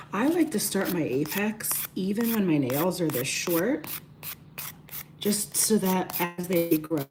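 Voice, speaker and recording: Hoarse, raspy woman vlogger. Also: on the low side, background noise. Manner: chatty, greets viewers by name mid-task. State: hoarse, losing her voice. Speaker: beauty livestream host. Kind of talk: casual livestream nail tutorial.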